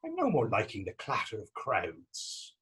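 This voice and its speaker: Man acting husky, teasing young Irish drifter. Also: lowish voice, wildly swooping pitch, unhurried, fairly bright tone, exaggerated voice. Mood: defensive, wistful. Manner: teasing, lilting folksy phrasing. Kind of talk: stage readers theater dialogue.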